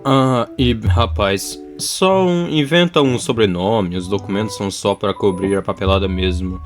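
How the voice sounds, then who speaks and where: A man playing a weary, polite young subordinate in a Brazilian anime fan dub, hesitant, understated and deadpan. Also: lowish voice, normal speed, soft background music, lightly acted. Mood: bewildered, resigned.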